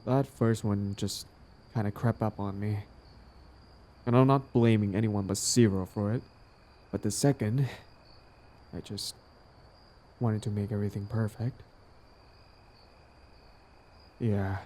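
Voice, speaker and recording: A man acting out a smug but smitten villain on a date, smooth-talking and casual, in an intimate audio roleplay. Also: on the low side, fairly even intonation, measured pace, long pauses, mellow, lightly acted. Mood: flustered, hesitant, then tender, sincere. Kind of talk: intimate scripted boyfriend-style audio roleplay.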